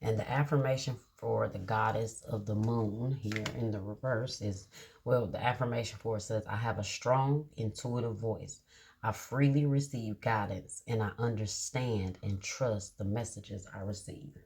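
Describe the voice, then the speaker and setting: A woman with a husky, slightly breathy voice talks her viewers through a tarot spread. She reads card meanings aloud in a slow, deliberate way and keeps checking in with a reassuring "okay?" Her delivery is casual and conversational. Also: a bass voice, fairly even intonation, a little mellow. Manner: drawn-out, reassuring, many "okay?" check-ins. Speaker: tarot reader.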